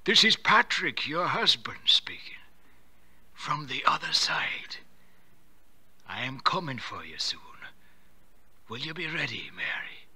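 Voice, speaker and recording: A man's voice: medium pitch, sing-song, takes it slowly, long pauses, bright, forceful tone, husky, voice pushed up, heavily acted. A man voicing a menacing ghost of a dead husband, eerie and beckoning, in an old radio drama. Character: ghostly dead husband whispering from the other side. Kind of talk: mid-century radio drama acting.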